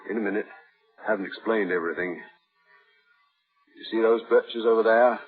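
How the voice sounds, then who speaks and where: A man acting a controlling, unhinged husband in an old radio thriller. His low voice speaks of dark things in a folksy, matter-of-fact way, through a thin, tinny broadcast with some music. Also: moderate pace, close to an everyday voice. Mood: eerily matter-of-fact. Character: menacing, domineering husband, quietly deranged killer.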